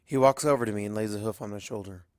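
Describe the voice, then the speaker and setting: A man's voice: fairly low pitch, rapid-fire, clear, resonant tone, dry recording. Man narrating a story, dry close sound. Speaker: fan fiction narrator.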